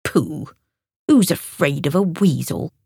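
A woman's voice: low-pitched, lively intonation, moderate pace, breathy edge, no room sound, clean studio sound. Woman doing a proud, grumbling lady squirrel. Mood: scornful. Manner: grumbling, sharp-tongued.

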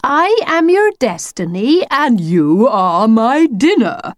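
A woman's voice: on the high side, very animated, slow pace, no echo, studio quality, pitched above the performer's own voice. Woman voicing a grandiose, chummy storybook wolf. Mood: theatrical, teasing. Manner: grandiose, fussy, old-fashioned endearments. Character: theatrical, effusive, chummy male talking wolf. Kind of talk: children's storybook character reading.

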